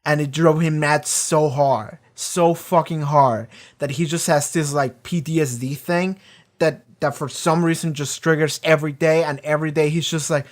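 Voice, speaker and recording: A reaction video host in a casual, rambling discussion, often talking loudly, swearing freely and filling pauses with lots of "like" and "um". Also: mid-range voice, steady tone. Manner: swears freely, many fillers and restarts; often talks loud.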